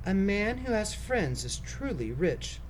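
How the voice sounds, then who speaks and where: Man playing wise young storybook woman, raised pitch, gentle, modest, gracious, exclaiming softly. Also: sing-song, measured pace, slight background noise, poor audio. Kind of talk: children's storybook read-aloud.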